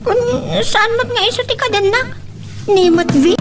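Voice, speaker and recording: A woman's voice: very high voice, moderate pace, far from the mic, loud music behind the voice. A woman with an Ilocano accent playing a gossipy village woman in an amateur audio drama. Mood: chatty, nosy.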